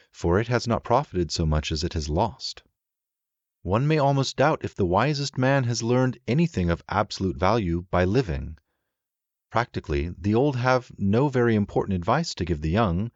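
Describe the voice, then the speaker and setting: Low-voiced man reading a literary audiobook. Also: no echo, dull audio. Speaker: audiobook narrator.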